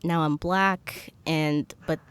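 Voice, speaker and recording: A woman's voice: sits low, steady tone, slow, clean tone. A young woman from Zambia hosting a casual interview, feeling her way through questions with tentative, like-filled phrasing. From Zambia, moved to the US at ten. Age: young adult. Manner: tentative, searching phrasing with many likes. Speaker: podcast host, interviewer.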